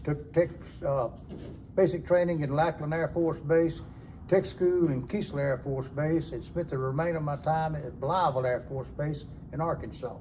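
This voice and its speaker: An elderly man from South Alabama in his 80s speaks with a mellow, darker tone that sits a little higher than most men's. He talks haltingly, pausing and reaching for words. A faint hiss of noise runs underneath. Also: steady tone, poor audio. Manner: halting, pauses with fillers. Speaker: Air Force veteran. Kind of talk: recorded personal interview.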